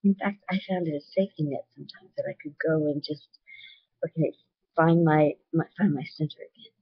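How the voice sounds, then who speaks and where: A woman with a naturally loud voice talking candidly and earnestly to her webcam in a personal video. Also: deep voice, a little fast, slightly rough, dry recording, lo-fi sound. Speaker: vlogger.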